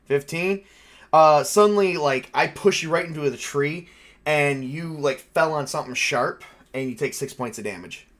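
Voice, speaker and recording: A man's voice: fairly high pitch, moderate pace, fairly bright tone. A man acting as game master, narrating a tabletop game casually and dramatizing events in informal words.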